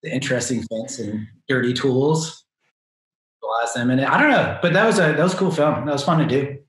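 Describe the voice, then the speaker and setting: A man in his thirties, a filmmaker guest speaking casually over a video call from a small room, relaxed and rambling. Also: mid-range voice, a little fast. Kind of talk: casual interview answers. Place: small home room with shelves. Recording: roomy webcam sound.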